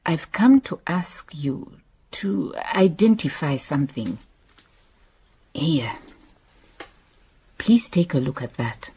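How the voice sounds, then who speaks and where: A woman's voice: sits low, very animated, mellow, slightly rough, full character voice. An actress playing a stubborn lady private detective in a radio drama, speaking formally and unhurriedly with a Southern African accent. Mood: gentle, matter-of-fact. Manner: unhurried, formal, firm phrasing.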